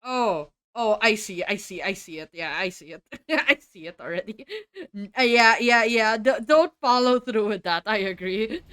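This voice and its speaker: A woman chatting casually on a game live stream, amused and quick to react with exclamations. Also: mid-range voice, animated, fairly bright tone, clean tone, no echo, studio quality.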